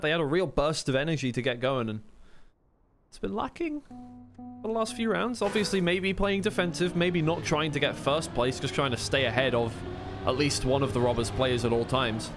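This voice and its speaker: A man's voice: on the high side, noisy background. A man doing live esports play-by-play commentary in a conversational way, thinking aloud as he goes.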